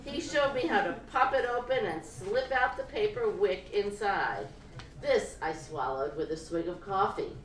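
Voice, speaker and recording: Slow-paced woman reading fiction, roomy live recording. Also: mid-range voice, sharp tone. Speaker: reader of fiction at a live event. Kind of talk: expressive literary reading of first-person prose. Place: indoor live reading event. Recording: roomy, distant live-event recording with noise.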